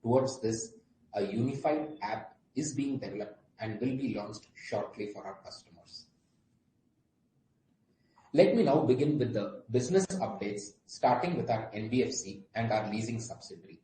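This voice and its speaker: A man's voice: mid-range voice, animated, normal speed, dark tone. A man with an Indian accent, a company executive giving a formal prepared presentation on an earnings call. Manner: formal, scripted delivery. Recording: roomy echo, faint background noise.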